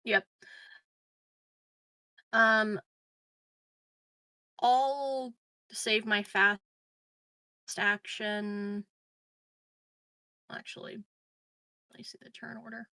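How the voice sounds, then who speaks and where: A woman's voice: on the high side, level tone, drawn-out words, pauses often, pushed and bright, no room sound. A woman player in a tabletop roleplaying game, thinking aloud through a turn in a casual way.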